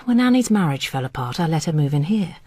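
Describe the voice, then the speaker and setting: A frank, troubled British friend in a radio drama. Her voice is rather low and husky, with lively swings of pitch and a confiding manner. The sound is dry. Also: quick pace, no room sound. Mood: bitter. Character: frank, troubled woman, friend of a dead flatmate. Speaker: supporting actor. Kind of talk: naturalistic BBC radio drama acting.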